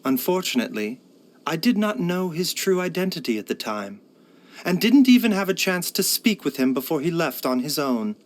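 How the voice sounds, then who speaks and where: A man voicing a courteous, wry pharmacist and doctor in a video game, speaking in measured, formal phrases. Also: a little high, big pitch swings. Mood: polite, reflective. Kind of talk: scripted video game character voice lines.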